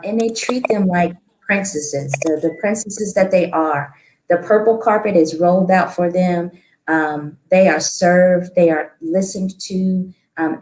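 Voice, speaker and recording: Woman, slightly low voice, hesitant announcer, echoey room with faint noise. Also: darkish tone. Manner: informative, hesitant with frequent ums. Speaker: participant. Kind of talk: community announcement.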